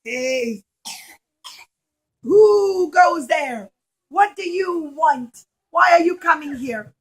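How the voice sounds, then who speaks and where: A gullible soldier from a puppet show done by a woman, high-pitched and loud. Every call is exaggerated and drawn out for young children. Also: animated, drawn-out words, exaggerated voice. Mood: challenging. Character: gullible enemy soldiers guarding a camp.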